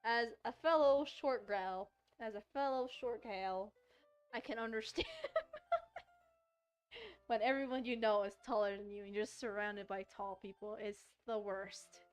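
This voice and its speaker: A woman commentating on a game playthrough as a streamer, animated and exclaiming, with drawn-out reactions. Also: fairly high pitch, smooth, soft background music. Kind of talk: playthrough commentary with reactions, reading dialogue aloud.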